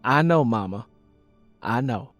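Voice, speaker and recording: Audiobook role: male narrator as weary, God-fearing Southern woman, earnest and gently reproving, dry close sound. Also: mid-range voice, animated, takes it slowly, no room sound, faint music behind. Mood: tender, prayerful. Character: devout, weary, steadfast Southern mother. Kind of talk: audiobook character acting.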